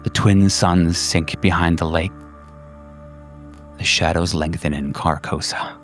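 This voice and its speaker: Man doing a hushed, low, eerie reciter. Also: fairly even intonation, faint music behind. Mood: eerie solemn. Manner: slow, solemn. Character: very quiet, eerie man reciting grim verse.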